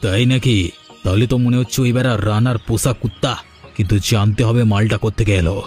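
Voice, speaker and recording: A man's voice: lowish voice, steady tone, fast, darkish tone, faint music behind, close to an everyday voice. A man voicing a crude, envious, bossy gang boss in a village folk-tale cartoon. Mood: bossy, suspicious.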